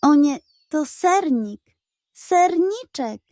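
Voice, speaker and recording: A woman voicing an anxious, hesitant female goat in a children's story, with a squeaky voice and worried protests. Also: expressive, extremely slow, mellow, no echo, clean studio sound, full character voice. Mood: anxious longing.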